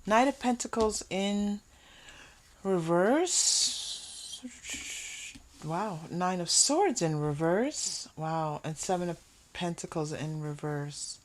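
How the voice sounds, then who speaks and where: A woman hosting an unscripted tarot reading, talking conversationally to viewers and often pausing or repeating phrases as thoughts come to her. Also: fairly low pitch, a little hiss or hum. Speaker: tarot reader hosting a pick-a-card reading.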